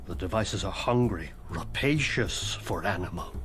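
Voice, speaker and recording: A man voicing the ghost of a dead male school headmaster, formal and morbidly deadpan, now and then dropping into a whisper, in a video game cutscene with music behind. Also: low-pitched, measured pace. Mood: wry.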